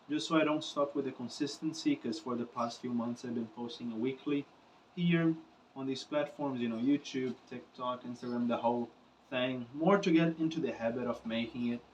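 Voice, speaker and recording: A young man with a Brazilian accent talks freely to his camera, drifting between thoughts and leaning on filler phrases. His voice sits in an ordinary middle pitch for a man. The mic is set back from him and picks up a faint wash of room ambience. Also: far from the mic, a little hiss or hum, rough recording. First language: Portuguese. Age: young adult. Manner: casual, rambling, with frequent filler phrases and swearing. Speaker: musician vlogger. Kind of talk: unscripted talk to camera.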